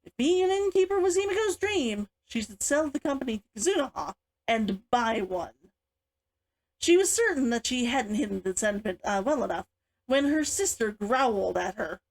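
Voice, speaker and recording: A woman reading her own book aloud as an author, in an expressive audiobook style. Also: fairly high pitch, normal speed, low-quality recording.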